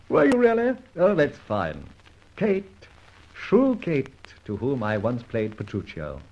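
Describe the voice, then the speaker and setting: An urbane gentleman rogue in an old radio detective play. His delivery swoops up and down, wry and theatrical, with whimsical, mock-formal phrasing. The vintage recording is dull. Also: medium pitch, wildly swooping pitch, slow pace, full character voice. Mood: teasing. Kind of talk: old-time radio drama acting and first-person narration.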